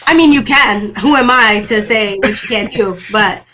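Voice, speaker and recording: A young woman from Brazil, a native Portuguese speaker, chatting as an actor guest in an interview, lively, laughing often and restarting her sentences. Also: fairly high pitch, normal speed, poor audio. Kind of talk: casual interview chat.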